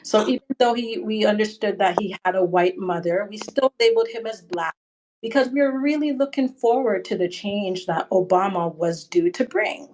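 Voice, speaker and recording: A woman from Canada calls in to a livestream and talks at length in a chatty, rambling way. She keeps checking in with okay and right as she builds her point. Her voice sits at an everyday middle pitch. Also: normal speed, darkish tone, low-quality recording. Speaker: caller. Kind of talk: call-in discussion.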